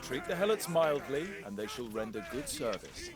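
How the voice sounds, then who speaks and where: An actor playing a noble, resolute Spartan commander in a historical video game, speaking in a formal, declamatory way. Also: male voice, medium pitch, sing-song, normal speed, close to an everyday voice. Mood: magnanimous authority.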